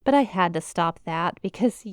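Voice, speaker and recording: A woman's voice: on the low side, animated, normal speed, clear, resonant tone, no echo, clean studio sound, close to an everyday voice. A woman playing a shy, playful young eldritch monster classmate in an intimate ASMR roleplay, teasing and hesitant, with a flustered stammer. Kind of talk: ASMR roleplay, intimate one-sided conversation.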